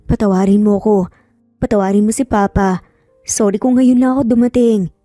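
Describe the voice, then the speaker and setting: Woman as an earnest, hushed Filipino father. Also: mid-range voice, steady tone, normal speed, no echo, studio quality, faint music behind, lightly acted. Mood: remorseful, emotional. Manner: hushed, emotional. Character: earnest, remorseful young father, soft-hearted man.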